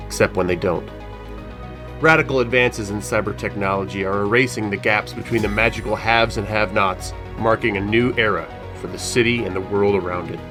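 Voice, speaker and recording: Male narrator, low steady voice, grave delivery, music under. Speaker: series narrator. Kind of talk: scripted narration.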